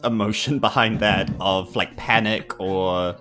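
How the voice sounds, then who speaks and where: A man's voice: on the low side, fairly even intonation, a little slow, bright, forceful tone, soft background music. A man chatting casually as a player in a tabletop game.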